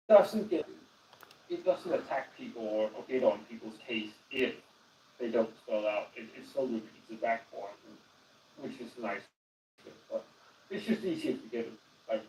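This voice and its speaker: A man with a Danish accent gives an animated, casual walkthrough of AI phone agents on a live stream, often restarting his sentences. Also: mid-range voice, recorded from a distance, slight background noise. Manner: animated, explanatory, with many restarts. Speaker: guest explaining AI phone agents. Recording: roomy echo, voice away from the mic.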